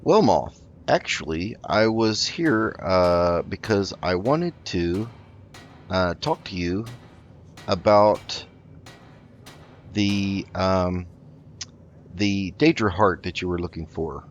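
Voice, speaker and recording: A man giving casual, in-character gameplay commentary, politely and hesitantly, rambling along with many pauses. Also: low voice, lively intonation. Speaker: game roleplay video host. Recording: dull lo-fi mic with background noise.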